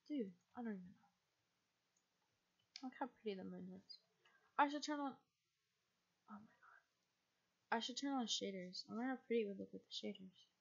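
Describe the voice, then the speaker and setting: A young woman from the United States, chatting casually and rambling as she streams a game, with a husky, quiet voice and frequent laughs. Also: on the high side, highs cut off.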